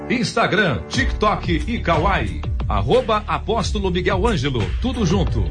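An upbeat male announcer reads a church promo. His voice is high and swings widely in pitch. Clear music plays under him. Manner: upbeat, lively promo read. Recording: over music.

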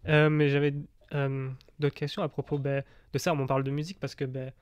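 A man's voice: mid-range voice, flat delivery, a little fast, clean tone, no room sound. A man hosting a casual, conversational radio interview in a studio.

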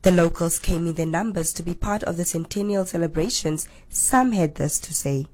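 A woman with a South African accent delivering a scripted news report in a steady, formal way. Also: lowish voice, moderate pace, noisy background.

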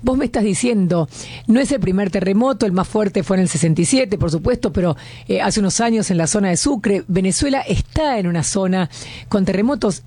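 A woman's voice: mid-range voice, lively intonation, brisk, mellow, no room sound. A woman with an Argentine accent hosting a radio interview, chatting and asking pointed questions. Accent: Argentine Spanish. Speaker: radio host.

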